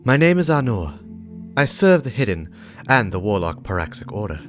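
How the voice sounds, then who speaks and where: A man voicing a stern, righteous warlock, speaking gravely and deliberately in a dramatic lore reading, with emphatic warnings against corruption. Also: lowish voice, very animated, soft background music. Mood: stern, urgent.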